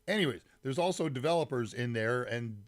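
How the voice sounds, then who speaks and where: A man hosting a casual live tech stream, chatting with viewers by name and rambling a little, his voice a bit deep and congested from a summer cold. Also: expressive, fairly quick, no room sound. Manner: rambling, greets chat viewers by name, frequent fillers. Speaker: livestream host. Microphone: mic set some distance away.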